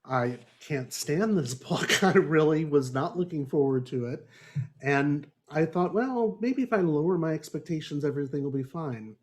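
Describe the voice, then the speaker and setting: A man's voice: a little high, animated, moderate pace. A man hosting a book review discussion, speaking in a wry, articulate and emphatic way. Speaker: podcast host and moderator; English composition teacher. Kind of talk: book review discussion, partly reading prepared notes.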